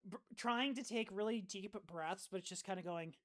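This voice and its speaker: A woman's voice: medium pitch, moderate pace, heavy background noise. A woman casually narrating a tabletop game as game master, improvising and often searching for her words.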